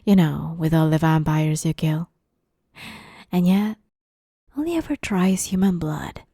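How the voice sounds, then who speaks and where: A woman playing a playful, smug, menacing vampire interviewer in an ASMR roleplay, teasing and drawling, often slipping into breathy whispers. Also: low voice, a little slow, dark tone, no echo, studio quality. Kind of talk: ASMR roleplay, scripted monologue.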